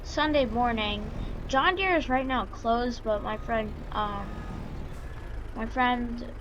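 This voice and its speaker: A woman doing casual, halting roleplay commentary over a farming game, excitable and given to exclaiming. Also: on the high side, lo-fi sound. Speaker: gaming video creator. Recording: noisy, with vehicle engine sounds.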